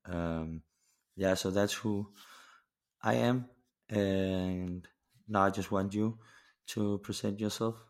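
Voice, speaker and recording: Podcast host, male, twenties, Danish accent. Very low, gravelly voice, halting and informal. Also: fairly even intonation. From Denmark. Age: 20s. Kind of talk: casual conversational interview.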